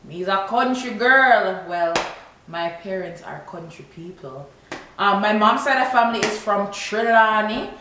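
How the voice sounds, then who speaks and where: A woman's voice: medium pitch, measured pace, bright, forceful tone. A young woman from Toronto with a Canadian accent that slides into Jamaican Patois, chatting casually and animatedly to camera as a vlogger. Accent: Toronto English with Jamaican Patois. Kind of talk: casual chat to camera, answering tag questions. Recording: very echoey room with background hiss.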